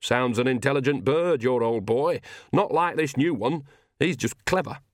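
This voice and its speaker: A man's voice: mid-range voice, normal speed, pitched above the performer's own voice, natural voice. A man voicing a shrewd, blunt, earthy old country witch in an audiobook, with folksy, colloquial talk. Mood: shrewd, knowing.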